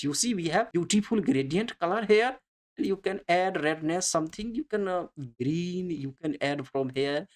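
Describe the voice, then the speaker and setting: A tutorial instructor with a mid-pitched voice talks through software steps off the cuff. The phrasing is non-native English, halting and often repeated, as each step is explained in turn. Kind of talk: unscripted screen-recorded step-by-step tutorial narration.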